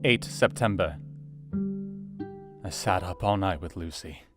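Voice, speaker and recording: An actor playing an earnest, caring Victorian doctor in a radio play, narrating his diary in a measured, formal way. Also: deep voice. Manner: measured, formal, literary delivery. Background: plucked guitar music.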